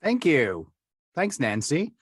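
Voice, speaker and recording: A man's voice: a little high, wildly swooping pitch, slow pace, husky, dry recording, studio quality. A middle-aged man with an English accent, a dialect coach telling his story as a guest in a casual interview, rambling with lots of fillers and small laughs. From England.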